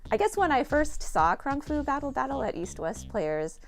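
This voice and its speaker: A woman's voice: sits high, very animated, normal speed, creaky, soft background music. A middle-aged woman director from Seattle, speaking reflectively in a documentary interview with occasional laughs. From Seattle area, USA. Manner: reflective, laughs now and then while talking. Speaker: theater director.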